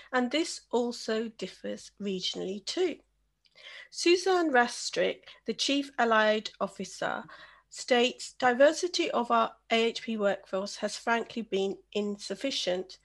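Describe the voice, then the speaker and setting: Woman hosting a podcast, slightly high voice. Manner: reads an introduction, then chats and hands over by name. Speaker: podcast host. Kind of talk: hosting a recorded video-call podcast.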